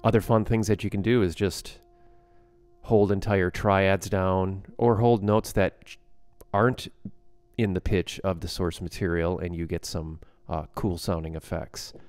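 A man giving a casual software tutorial as a music production instructor, explaining plainly step by step. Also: low voice, steady tone, unhurried, dry recording.

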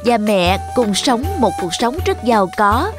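A woman's voice: fairly high pitch, expressive, measured pace. A woman narrator reading a scripted children's story. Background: jingly children's music.

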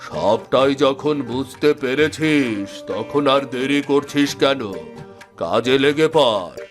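A man's voice: mid-range voice, animated, slow. A man voicing a greedy, scheming village headman in a cartoon, bossy and cunning. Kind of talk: Bengali cartoon voice acting. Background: music.

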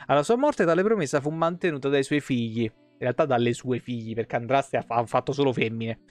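A man's voice: mid-range voice, fast talker, no room sound. A man, a game streamer reading lore aloud with casual, irreverent asides. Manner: irreverent, foul-mouthed asides. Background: background music.

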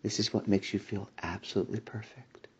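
A man delivering a slow hypnotic induction in a soft, soothing voice with breathy whispers and a repetitive commanding cadence. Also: on the low side, steady tone, dull audio. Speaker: hypnosis audio creator.

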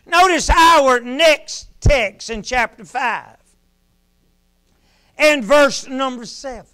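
High-pitched man preaching from a pulpit. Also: slow, soft background music. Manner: preaching in rising shouts, then dropping to a hush. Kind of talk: sermon. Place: small church sanctuary, at a pulpit.

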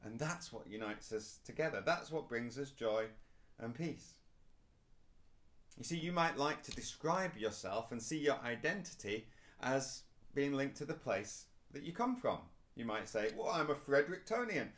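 A man's voice: medium pitch, expressive, moderate pace, fairly bright tone. A man, preaching a recorded sermon to camera in a small indoor room, earnest and emphatic. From England. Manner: earnest, rising and falling emphasis, gestures while speaking. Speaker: pastor.

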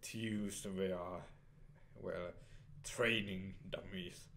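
A man's voice: sits low, slow, slight background noise. A young man casually commenting on a strategy game as he plays, hesitating often and reading the on-screen dialogue aloud. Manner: hesitant, many fillers and restarts, reads dialogue in flat voice. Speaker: let's play player.

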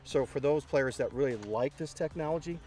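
A middle-aged man interviewing a golfer outdoors on a golf course, talking in a salesy way and often repeating words, picked up by an overhead boom mic. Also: fairly quick, dark tone, faint music behind. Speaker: company head interviewing a golfer. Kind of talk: promotional walk-and-talk interview.

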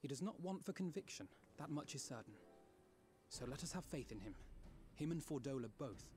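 A man's voice: fairly high pitch, animated, a little fast, darkish tone, soft background music, close to an everyday voice. Man acting as light-voiced, formal elf youth. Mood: measured resolve. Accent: British. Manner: formal, archaic diction. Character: earnest, scholarly teenage elf boy, formal. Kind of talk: game cutscene voice acting.

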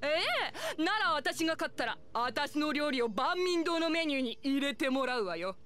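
High-voiced, proud village cook. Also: female voice, expressive, a bit bright. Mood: challenging. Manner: brisk, self-assured, challenging. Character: proud, feisty adult female village meat chef. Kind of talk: anime-style game acting.

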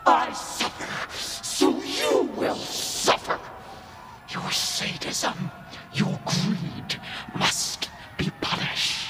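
An actor playing a vengeful otherworldly twin in a video game, solemn and declamatory, with a harsh rasp. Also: male voice, very high voice, huge pitch swings, very slow, pauses often, sharp tone, soft background music, exaggerated voice. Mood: vengeful, anguished. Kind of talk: video game cutscene acting.

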